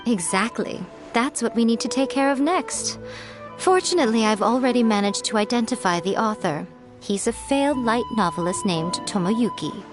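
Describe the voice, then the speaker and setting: A woman's voice: on the high side, lively intonation, music in the background, lightly acted. A woman playing a sly, teasing schemer. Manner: teasing, unhurried, chuckles often. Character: sly, playful female schemer, amused and in control. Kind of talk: video game voice acting.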